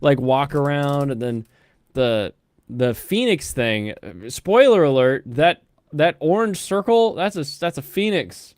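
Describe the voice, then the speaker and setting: Animated rambling man, slightly high voice. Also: measured pace, no room sound, studio quality. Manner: rambling, animated, slips into mocking quoted voices. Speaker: host. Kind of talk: casual anime review chat.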